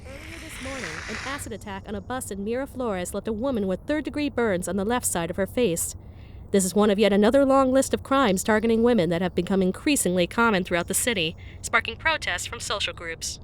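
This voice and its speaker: An actress playing a serious news reporter in an animated short, reading a formal scripted report. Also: female voice, mid-range voice, brisk, a little hiss or hum, close to an everyday voice.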